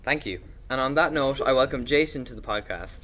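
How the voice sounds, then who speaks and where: A man's voice: mid-range voice, expressive, brisk, pushed and bright, a little hiss or hum. A young man presenting as a podcast host in a scripted, introductory style.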